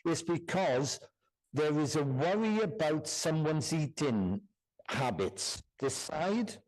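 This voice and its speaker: A male medium addresses a church congregation during a service. His speech is halting and repetitive, and he keeps checking that his listener follows him. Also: fairly high pitch, expressive, a little mellow, low-quality recording. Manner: repetitive, checks in often with "do you understand?". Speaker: guest medium.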